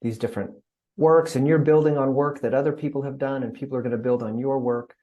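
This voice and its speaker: A man acting as interviewer, asking gentle questions with careful, halting phrasing. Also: mid-range voice, fairly even intonation, highs cut off. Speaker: interviewer, pastor.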